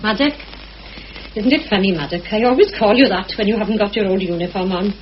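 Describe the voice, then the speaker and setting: A woman's voice: mid-range voice, quick pace, close to an everyday voice. An actress playing a bustling, motherly, chatty village woman in a mid-century radio drama. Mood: cosy, fussing. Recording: muffled, noisy old radio recording.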